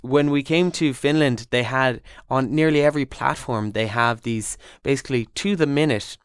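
A young Irish man chats on a radio show in a smooth, clear voice. His talk is casual and hesitant, with many fillers and restarts. The studio sound is dry and close. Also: mid-range voice, normal speed, no echo. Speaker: trainee reporter and co-presenter.

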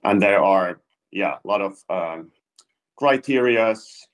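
Low-voiced male journalist, hesitant. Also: animated, slow pace, poor audio. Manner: hesitant, searching for words. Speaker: investigative journalist. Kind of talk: interview answer.

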